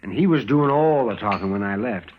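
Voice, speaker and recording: An actor playing a wry, teasing frontier town doctor in a radio western. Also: male voice, fairly low pitch, lively intonation, a little fast, close to an everyday voice. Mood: amused, teasing. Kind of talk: 1950s radio western acting. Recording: muffled, noisy old radio broadcast.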